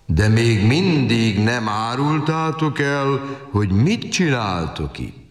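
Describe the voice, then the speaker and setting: A man playing a huge, slow-witted but kindly giant in a storybook audio drama, full of exclamations and questions. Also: mid-range voice, animated, reverberant, lightly acted. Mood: blustering, curious.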